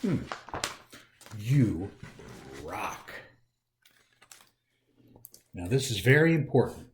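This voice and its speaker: Animated American man reading to kids. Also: lowish voice, extremely slow, noisy background. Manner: animated, theatrical, talks directly to young listeners. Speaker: library storytime host reading aloud. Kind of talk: children's picture book read-aloud. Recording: roomy, echoey indoor sound.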